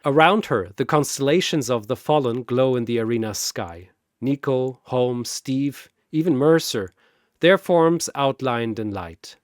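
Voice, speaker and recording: A man narrating a scripted story in a deliberate, dramatic way. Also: medium pitch, animated, no room sound, studio quality.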